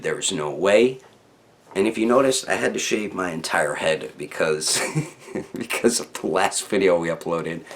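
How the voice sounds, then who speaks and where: Low-voiced man telling a casual, rambling story. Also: a bit bright. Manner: rambling, slangy, with stammered repeats. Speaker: YouTube storyteller, channel host. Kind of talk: casual unscripted storytelling to viewers.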